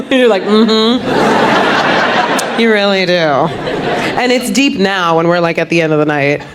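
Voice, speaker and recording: A woman works a comedy club crowd, animated and quick to laugh at her own bits. Her voice sits in the middle of the female range. Loud audience laughter and heavy club noise fill in behind her. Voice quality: deep voice. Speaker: stand-up comedian. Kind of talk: stand-up crowdwork set.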